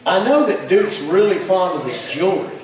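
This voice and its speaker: A man's voice: high voice, animated, moderate pace. A man narrating a puppet show live, telling the story in a rambling, bumbling, teasing way with ad-libs. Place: church hall. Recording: very echoey hall from far off.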